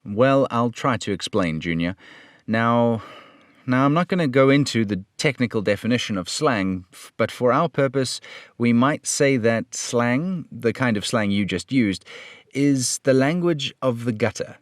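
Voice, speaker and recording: A man playing an earnest, fatherly police detective in an old radio serial style, measured and authoritative. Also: mid-range voice, lively intonation, no echo, studio quality, close to an everyday voice. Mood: stern, fatherly.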